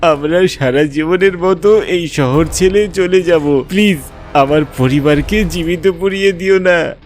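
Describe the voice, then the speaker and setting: A man voicing a desperate family man in a horror cartoon, begging loudly for mercy. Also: on the high side, a little slow, faint music behind, pitched above the performer's own voice, close to an everyday voice. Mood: desperate pleading. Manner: pleading, loud. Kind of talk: dramatic horror cartoon acting.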